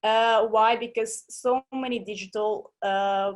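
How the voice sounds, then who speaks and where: A woman's voice: on the high side, fairly even intonation, measured pace. A woman, a guest in a webinar interview, speaking hesitantly with many fillers and frequent small laughs. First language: Russian. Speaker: guest entrepreneur.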